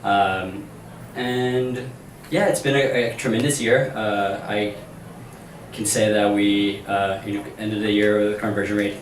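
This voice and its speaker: A man's voice: on the low side, fairly even intonation, unhurried. A man from Brazil with a Brazilian Portuguese accent, speaking casually with fillers as a panelist. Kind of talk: panel discussion. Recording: echoey room, distant mic, faint noise.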